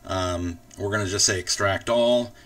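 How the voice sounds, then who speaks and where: A man hosting a casual software walkthrough, explaining things informally step by step. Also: low voice, moderate pace, distant mic. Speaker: tutorial host.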